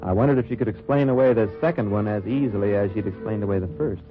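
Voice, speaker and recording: Undercover agent, wartime radio drama male actor: low, measured, wry narration, muffled vintage sound. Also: lightly acted. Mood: suspenseful, watchful. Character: cool, watchful undercover agent narrating his wartime mission. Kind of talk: 1940s-50s radio drama acting, first-person narration. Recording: muffled old-time radio recording with music.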